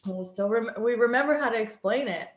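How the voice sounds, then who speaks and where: A woman's voice: fairly high pitch, brisk. A woman hosting a comedy show with casual, informal chat, recorded in an echoey room. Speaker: host.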